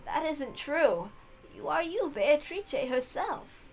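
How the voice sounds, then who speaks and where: A woman voicing a kindly female servant who reassures her young mistress in a polite, measured, soothing way. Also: high-pitched, sing-song, soft background music, pitched above the performer's own voice, full character voice. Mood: reassuring.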